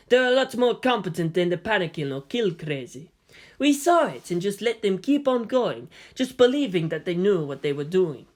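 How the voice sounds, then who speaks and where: A man's voice: high-pitched, very animated, normal speed. A man voicing an uneasy, sceptical army sergeant in his forties, questioning and reflective, in a storybook reading.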